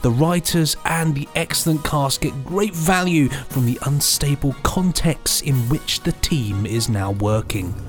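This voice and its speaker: A man's voice: on the high side, unhurried, few pauses, music in the background. A man co-hosting a podcast, reading reviews and chatting casually with a jokey manner. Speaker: podcast co-host.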